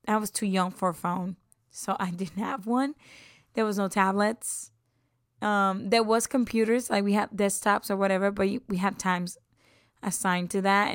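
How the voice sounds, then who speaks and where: A woman's voice: medium pitch, clean tone, dry recording, studio quality. A woman in her twenties from Puerto Rico, chatting casually about herself as a podcast host, upbeat and laughing often, sometimes dropping into a whisper. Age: 20s. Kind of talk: casual self-introduction.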